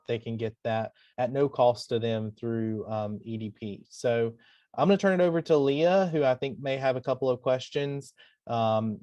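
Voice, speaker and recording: A man's voice: medium pitch, darkish tone, no room sound, highs cut off. A man hosting a webinar, moderating in a conversational way. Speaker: webinar host.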